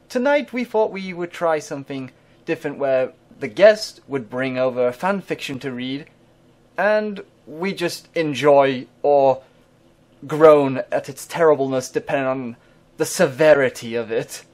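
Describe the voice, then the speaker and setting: Wry host guy, bit higher voice, slow and easy. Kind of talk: casual podcast chat.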